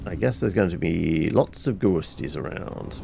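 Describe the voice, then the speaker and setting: A man's voice: low voice, lively intonation, a little slow, creaky, very noisy. A man giving casual video game commentary, rambling and thinking aloud to himself. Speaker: gameplay streamer.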